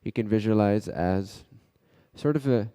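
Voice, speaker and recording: Slow, low-voiced man guiding meditation. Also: warm tone, smooth. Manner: unhurried, pausing between short phrases. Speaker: meditation teacher. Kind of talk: guided meditation. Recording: long reverberant echo.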